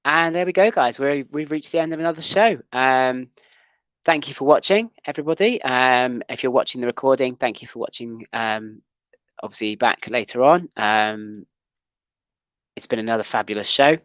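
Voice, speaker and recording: A man's voice: mid-range voice, moderate pace, a bit bright, smooth, dry recording, lo-fi sound. A man hosting a casual livestream chat show, talking with many ums and restarts. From Wales. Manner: hesitant, full of ums and restarts.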